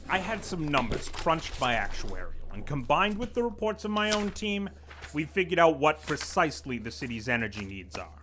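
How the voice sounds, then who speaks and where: A man's voice: on the high side, sing-song, normal speed, bright, forceful tone, a little hiss or hum. A man playing a glib, needling city official. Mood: businesslike. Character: smug scheming male city official, middle-aged. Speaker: acting director of utilities. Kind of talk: audio drama acting.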